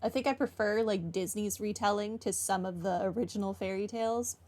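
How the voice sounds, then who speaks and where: A woman's voice: mid-range voice, fairly quick, darkish tone. A young woman doing casual, informal gameplay commentary and reading game text aloud in a chatty way. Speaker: gaming playthrough streamer.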